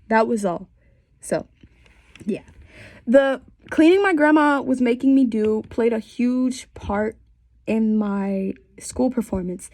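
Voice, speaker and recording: A woman's voice: on the high side, darkish tone, no room sound. Congested young woman telling her story. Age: 20s. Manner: candid and conversational, with wry asides. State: congested from a cold. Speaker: student. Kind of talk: personal story for a class assignment. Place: small bedroom by a window.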